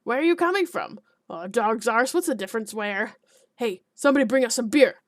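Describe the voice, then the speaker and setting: A woman voicing a small, podgy, hot-tempered village alderman who blusters and shouts. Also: on the high side, lively intonation, brisk, no echo, clean studio sound, lightly acted. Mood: hearty.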